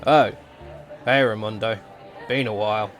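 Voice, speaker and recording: A man's voice: on the low side, fairly even intonation, unhurried, faint music behind, close to an everyday voice. A man voicing a cool, self-assured young tournament fighter in a visual novel drama, laconic and nonchalant. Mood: thoughtful, cool.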